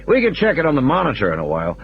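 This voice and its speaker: Man acting a brisk, higher-pitched control room engineer. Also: huge pitch swings, vocal fry, lo-fi sound, a little hiss or hum, full character voice. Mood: explaining. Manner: explanatory, technical, assured. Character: male control room engineer, briskly explaining, matter-of-fact. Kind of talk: audio play character dialogue.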